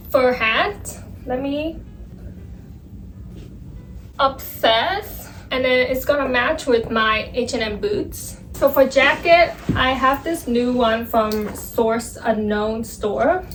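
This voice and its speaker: Chatty excitable woman in her twenties. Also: a little high, poor audio. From Vietnam. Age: 20s. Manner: chatty, excitable and self-mocking. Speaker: vlogger. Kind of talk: casual vlog chat. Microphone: phone.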